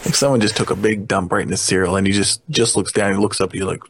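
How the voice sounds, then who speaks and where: A man's voice: deep voice, fairly even intonation, fast, breathy edge, dry recording. A man co-hosting a casual podcast chat, joking and throwing in silly lines.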